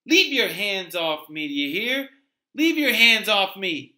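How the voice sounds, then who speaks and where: A man plays a young woman hitching a ride, pitched well up. She is folksy and blunt, sassy and on her guard, in the style of an old radio drama. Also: sing-song, unhurried, sharp tone, a little hiss or hum, heavily acted. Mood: scornful, indignant.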